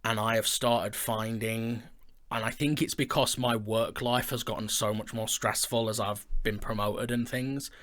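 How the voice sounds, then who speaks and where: A man's voice: fairly low pitch, fairly even intonation, normal speed, a bit bright. Man chatting casually as a podcast host. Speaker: podcast host and audio drama writer. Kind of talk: casual conversation, reading out listener questions.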